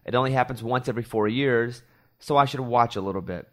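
A man's voice: medium pitch, quick pace, a bit bright. A man from Arkansas, a morning show host, chatting casually on a podcast and telling rambling stories with self-deprecating asides.

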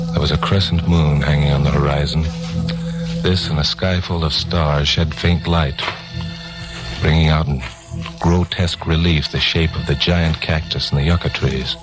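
A man's voice: very deep voice, steady tone, a little slow, long pauses, rough recording. An American man acting a tough, wry news commentator and investigator in a 1950s radio crime serial, with terse, dramatic, sardonic delivery. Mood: moody, suspenseful. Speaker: narrator and lead actor of a radio crime serial. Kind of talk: 1950s radio acting, hardboiled first-person narration. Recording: 1950s radio recording with music behind.

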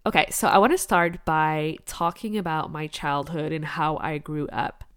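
A woman's voice: deep voice, fairly even intonation, moderate pace, fairly bright tone, clear, resonant tone, no room sound, clean studio sound. A woman who grew up in Quebec and speaks French natively, hosting a solo podcast as a business coach in an upbeat, candid, motivational way. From Quebec, Canada. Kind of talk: casual solo podcast monologue.

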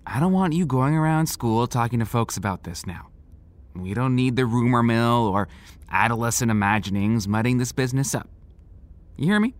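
Man doing a fatherly town sheriff, patient but firm, measured and reassuring, radio play style. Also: medium pitch, expressive, natural voice. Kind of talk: naturalistic audio drama acting.

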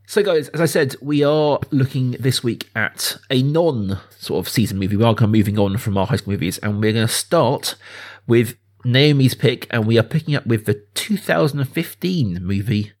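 Man chatting, medium pitch. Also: moderate pace, darkish tone. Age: 30s. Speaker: podcast host. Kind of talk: casual film podcast chat.

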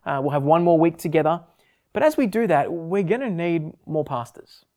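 A man's voice: fairly high pitch, expressive, moderate pace, mellow. A man who pastors a church, addressing his congregation and thanking them earnestly.

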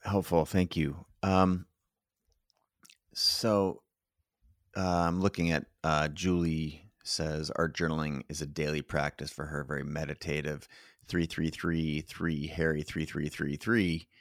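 Very deep-voiced man hosting an interview. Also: steady tone, measured pace, gravelly, dry recording, studio quality. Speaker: interview host. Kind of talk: conversational live interview, reading audience comments and asking questions.

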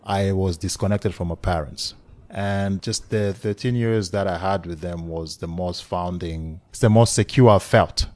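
Congo-born man, forties, remote podcast guest; very low, reflective storyteller. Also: measured pace, a little mellow. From Democratic Republic of the Congo. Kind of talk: casual remote interview. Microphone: remote call.

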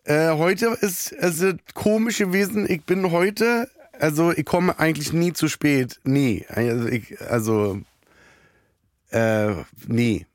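Hoarse, rambling man. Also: fairly high pitch, no echo, studio quality. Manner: rambling, self-mocking, halting fillers. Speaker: podcast host. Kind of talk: rambling comic monologue.

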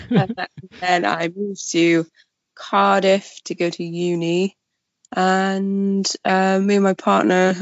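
A young woman from Wales with a creaky voice, chatting casually as an interview guest. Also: mid-range voice, flat delivery, slow pace, no echo, muffled recording. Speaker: podcast guest, freelance journalist.

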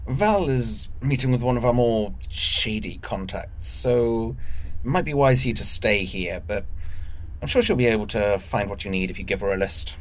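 A man acting in an audio drama as an ailing, stubborn crew leader, coughing and speaking in a halting, formal way. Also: lowish voice, expressive, lightly acted, rough recording. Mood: anxious, unwell. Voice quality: coughing, weak. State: ill, coughing. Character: sick, stubborn older male time-travel crew leader.

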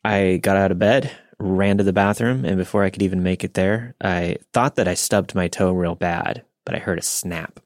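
A man's voice: low-pitched, moderate pace, hardly any pauses, no room sound, studio quality. A man in his thirties chatting casually as a podcast host. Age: 30s. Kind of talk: casual chat.

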